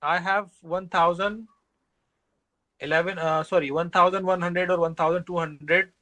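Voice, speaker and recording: Halting man, bright voice. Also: sits high, fairly even intonation. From Pakistan. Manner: halting, repeats words, many fillers. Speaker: prospective client. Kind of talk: business call.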